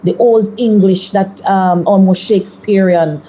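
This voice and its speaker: A woman in her thirties with a Jamaican accent, a politician answering interview questions in a measured, deliberate way. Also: medium pitch, fairly even intonation, dark tone, low-quality recording. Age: 30s.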